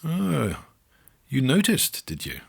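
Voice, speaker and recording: A man voicing a friendly, knowing woman who explains things with quiet amusement in a narrated story. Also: medium pitch, wildly swooping pitch, slow pace, gravelly, breathy edge, voice pushed up, exaggerated voice. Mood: amused. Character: friendly, knowing Pacific Islander woman from New Zealand.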